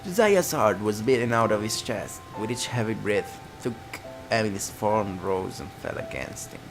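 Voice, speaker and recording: A man's voice: on the low side, expressive, moderate pace, low-quality recording. A man reading a visual novel aloud as a let's player, narrating dramatically and dropping into casual asides. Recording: guitar music behind.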